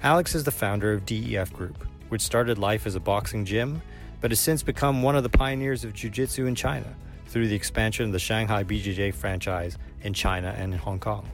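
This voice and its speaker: Low-voiced host reading a podcast intro. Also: male voice. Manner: measured, read from a script. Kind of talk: scripted show introduction. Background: faint music.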